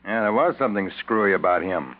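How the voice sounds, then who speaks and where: An actor playing a tough, matter-of-fact private detective with blunt, slangy delivery in a 1940s radio drama. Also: male voice, lowish voice, lively intonation, fast, lightly acted. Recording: thin phone-like 1940s radio sound.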